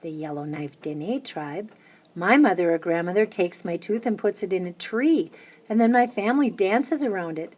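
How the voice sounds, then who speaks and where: A woman reading a children's picture book aloud, lifting her voice high and bright for the little chants. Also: lively intonation, normal speed. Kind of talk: storybook read-aloud.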